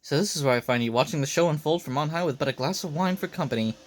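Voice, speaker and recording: A man's voice: a little high, racing, background noise, close to an everyday voice. A man voicing an arrogant, perceptive king from a game, with pompous, formal speech. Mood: lordly.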